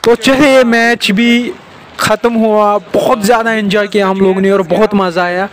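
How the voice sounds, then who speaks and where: A man's voice: high voice, fairly even intonation, normal speed. A young man giving a casual walking-tour narration as a vlogger, filmed outdoors on a handheld phone. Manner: walking tour commentary, pointing things out. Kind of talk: casual travel vlog narration. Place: outdoors, metro station and stadium. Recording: loud street and crowd noise.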